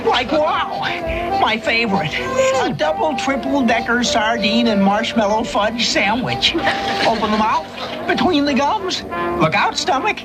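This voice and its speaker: A man's voice: very high-pitched, expressive, a little slow, low-quality recording. A man voicing a goofy, snack-loving young beatnik slacker in a cartoon, with a reedy, cracking voice. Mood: gleeful hunger. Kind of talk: cartoon voice acting. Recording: loud music over old cartoon soundtrack.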